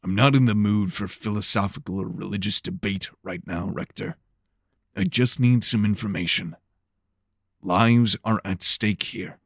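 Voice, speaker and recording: A man's voice: fairly low pitch, measured pace, dry recording, studio quality. A man playing a weary, irritable supernatural detective with terse, weighty delivery in an audio drama. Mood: irritated.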